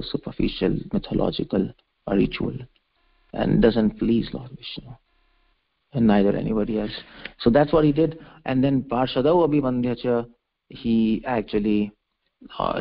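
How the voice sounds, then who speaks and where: Male preacher, mid-pitched and creaky, expounding scripture with emphatic repetition and halting phrasing; muffled conference-line sound. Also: steady tone. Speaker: preacher giving a scripture lecture. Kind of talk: religious discourse, explaining a verse. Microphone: conference call. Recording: dull conference-call audio.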